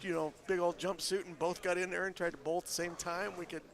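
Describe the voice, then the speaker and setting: A man's voice: high-pitched, flat delivery, quick pace. A man chatting casually as a bowler on the commentary, stuffy-nosed, joking and laughing easily. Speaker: bowler joining the stream commentary. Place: bowling alley. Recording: crowd noise from a bowling alley. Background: bowling alley crowd noise.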